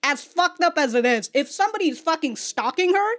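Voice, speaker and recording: A woman's voice: very high-pitched, animated, brisk, a bit bright. A woman hosting a casual reaction video, talking in an exaggerated, rambling way with stammered repeats and frequent swearing. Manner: self-described exaggerated on-camera delivery. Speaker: YouTube reaction host.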